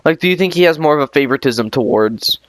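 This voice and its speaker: A man's voice: a little high, expressive, quick pace, dry recording. A man hosting a podcast interview, talking in a loose, rambling way with many fillers and restarts. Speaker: podcast host. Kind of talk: casual interview chat.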